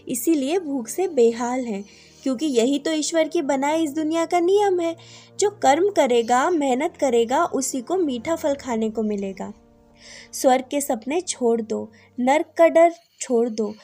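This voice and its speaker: A benevolent god of death from a folktale, voiced by a woman. The voice is high and mellow, instructing in a measured, moralizing way.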